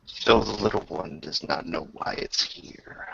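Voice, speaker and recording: A man voicing an ancient, fanged undead warrior lord who speaks in slow, formal, archaic phrases with dramatic pauses, in a tabletop game. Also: low voice, gravelly, noisy background, voice pushed down, exaggerated voice. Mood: menacing disdain. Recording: dull lo-fi recording.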